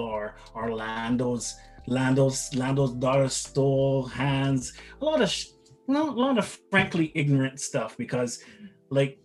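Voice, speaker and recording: Rambling middle-aged man from Barbados. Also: mid-range voice. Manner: rambling, frequent restarts and repeated words. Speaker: guest, father. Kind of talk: casual interview chat.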